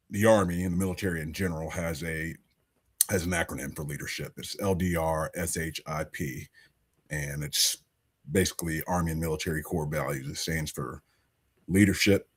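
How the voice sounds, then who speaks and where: A man's voice: sits low, steady tone, normal speed, breathy edge. A man from Alabama hosting a political podcast, talking in an emphatic, conversational way. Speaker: podcast host.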